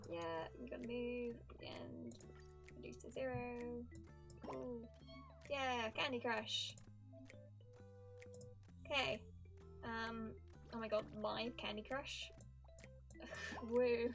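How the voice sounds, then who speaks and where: A woman doing casual live commentary on a game, with playful, expressive reactions. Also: on the high side, slow pace, creaky, pauses often. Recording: game music behind the voice. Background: video game music.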